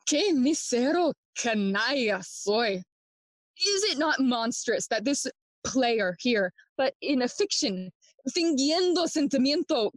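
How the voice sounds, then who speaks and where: A woman playing a bitter, grieving young prince in a bilingual classical audio play, speaking theatrical, deliberate verse. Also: high voice, no echo, full character voice. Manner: theatrical, deliberate, switching between English and Spanish.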